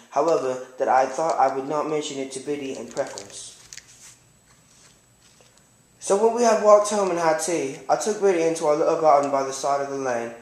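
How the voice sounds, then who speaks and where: A man's voice: fairly high pitch, natural voice. A man playing a proud, condescending young man, reading first-person literary narration and dialogue formally in an amateur puppet show. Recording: echoey room.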